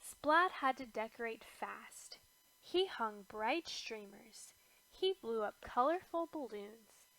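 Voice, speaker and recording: A woman reads a children's story aloud with deliberate expression. Her voice sits on the high side, and she takes the scripted narration very slowly. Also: animated, a bit bright, rough recording.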